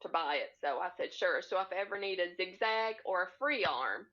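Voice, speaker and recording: A woman speaking as a podcast guest in relaxed, casual conversation over a video call with slightly muffled sound. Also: on the low side, brisk. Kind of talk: casual chat about sewing. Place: indoors, split-screen video call. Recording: slightly dull, lo-fi call audio.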